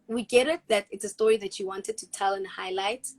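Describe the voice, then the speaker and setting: A woman's voice: mid-range voice, steady tone, a little fast, crowd noise. A woman from Africa, an actress and guest, talking earnestly and reflectively in a casual video-call interview, with frequent restarts.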